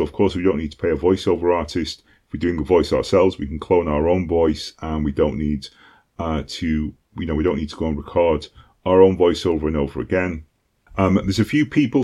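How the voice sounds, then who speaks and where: A British man with a deep voice giving a casual tutorial, talking conversationally with frequent ums and "okay?" check-ins. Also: mellow. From Britain. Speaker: online course instructor.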